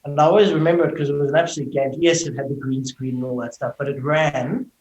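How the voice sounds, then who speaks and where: A man's voice: fairly high pitch, fairly even intonation, fast, a little mellow, dull audio. A middle-aged man with a South African accent who gives fluent, explanatory interview answers over a video call and fills his speech with "you know". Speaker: tech company executive, guest. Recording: roomy echo.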